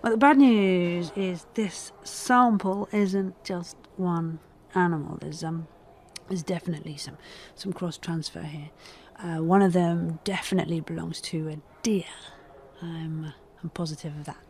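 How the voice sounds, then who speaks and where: An actress playing a forensic scientist in her forties, who talks haltingly with many fillers and restarts. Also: on the low side, steady tone, a little mellow, slight background noise. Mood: grave. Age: 40s. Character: guarded mid-forties woman forensic scientist. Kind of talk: audio drama acting.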